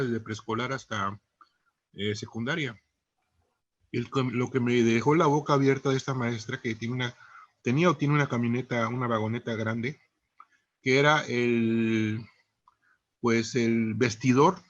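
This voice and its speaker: Man, husky mid voice, measured and hesitant; lo-fi call audio. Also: mid-range voice. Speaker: webinar participant. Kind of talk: telling an anecdote in a webinar. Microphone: video call. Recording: dull lo-fi video call audio.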